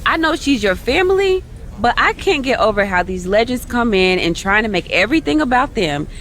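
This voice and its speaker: A cocky young female wrestler in a video game cutscene. She sounds brash and indignant in a fairly high voice, with faint noise behind her. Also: sing-song, brisk, a bit bright.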